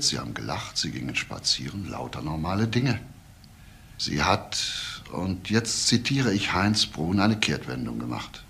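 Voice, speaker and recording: A male actor playing a deliberate, insistent police investigator in a crime radio play. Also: sits low, vocal fry, fairly bright tone, a little hiss or hum, exaggerated voice. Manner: deliberate, pointed, questioning. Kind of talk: radio crime drama acting.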